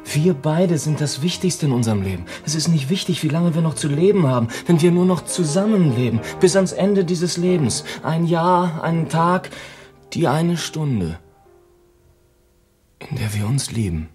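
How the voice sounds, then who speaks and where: A man's voice: on the high side, animated, moderate pace, a little mellow, natural voice. Earnest lovestruck man played by an actor, over music. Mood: fervent. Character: earnest, lovestruck man reunited with his lover. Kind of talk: romantic radio drama acting.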